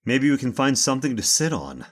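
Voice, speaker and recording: A man plays a dry, commanding gentleman in an old-time radio horror play. His speech is animated in pitch while his temper stays wry and steady. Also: fairly quick. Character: dry, unflappable gentleman master sheltering from a storm.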